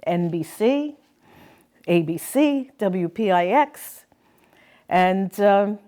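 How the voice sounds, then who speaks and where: A woman introducing a guest speaker at a meeting, reading a prepared introduction in a formal, deliberate manner. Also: medium pitch, expressive, warm tone. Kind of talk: scripted speaker introduction, read aloud.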